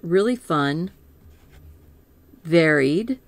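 A calm, soothing woman in middle age leads a painting lesson at an unhurried, easy pace. Her voice sits a little low and sounds close and dry, with no room around it. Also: dry recording. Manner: slow, gentle, thinking aloud with small laughs. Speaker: art teacher.

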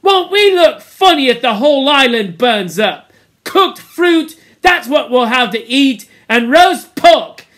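A woman's voice: animated, slow. A woman voicing an indignant, panicky young schoolboy who whines and scolds in ungrammatical English for a storybook reading. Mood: indignant scolding. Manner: ungrammatical, shrill protesting tirade.

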